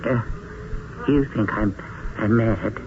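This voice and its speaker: An actor playing an earnest, mystical man down on his luck in an old-time radio drama, halting and fervent. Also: fairly low pitch. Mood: desperate, halting. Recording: dull, hissy old radio broadcast.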